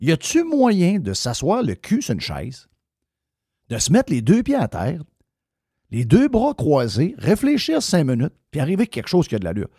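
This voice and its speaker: A man's voice: on the high side, wildly swooping pitch, moderate pace, creaky, warm tone, husky, no room sound, studio quality. A man with a Québécois French accent hosting a radio talk show, ranting bluntly and swearing freely. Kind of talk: opinionated radio rant.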